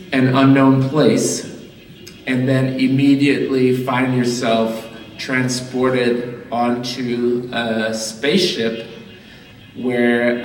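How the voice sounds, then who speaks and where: Artist from Liberia, male, mid-pitched, slow and thoughtful delivery with fillers; distant mic, roomy gallery echo. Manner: slow, halting, many fillers. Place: large echoey gallery indoors. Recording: roomy hall, far from mic.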